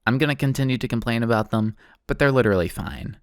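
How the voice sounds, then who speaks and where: A man's voice: medium pitch, fast, smooth, no room sound, clean studio sound. A man giving a casual video essay commentary, sardonic and self-deprecating, with informal wording. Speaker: online video essayist, host of the channel. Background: background music.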